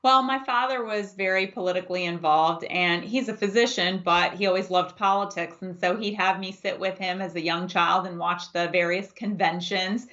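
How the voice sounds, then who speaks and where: A woman in her forties, a native Farsi speaker raised in the United States, giving steady, emphatic answers as a political candidate in an interview. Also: fairly low pitch, moderate pace, few pauses. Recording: dull lo-fi audio.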